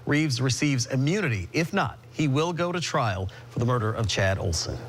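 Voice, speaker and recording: A man's voice: mid-range voice, lively intonation, normal speed, a little hiss or hum. A man with an American accent reading broadcast news as a TV anchor.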